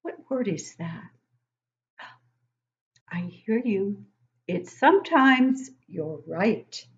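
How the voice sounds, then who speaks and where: An older woman reads a picture book aloud to young children like a teacher, expressively, pausing to ask them questions. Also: mid-range voice, muffled recording. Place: living room at home, indoors.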